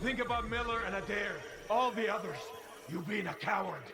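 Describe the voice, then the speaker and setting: An actor playing an alarmed soldier in a video game battle, with urgent, strained delivery. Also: male voice, high voice, fairly quick. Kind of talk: cinematic video game acting. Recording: echoing, roomy.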